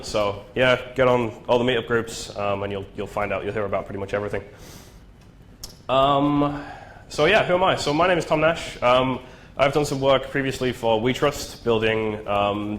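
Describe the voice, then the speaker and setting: A man's voice: fairly low pitch, a bit bright, a little hiss or hum. A man with a nasal, flu-stuffed voice giving a casual tech talk at a meetup, with lots of ums and "right?". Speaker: presenter. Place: meetup venue.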